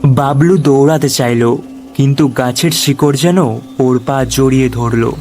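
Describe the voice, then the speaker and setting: A man narrates a scripted horror story at a slow pace. His voice sits at a medium pitch, with music playing underneath. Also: darkish tone.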